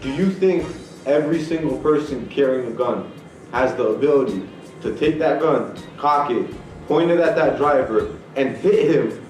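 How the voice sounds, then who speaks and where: A man's voice: mid-range voice, moderate pace, a little mellow, faint music behind. Blunt profane Toronto man arguing. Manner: blunt, profane, argues hard. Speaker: podcast co-host. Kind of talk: casual debate chat. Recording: echoey room, mic far off.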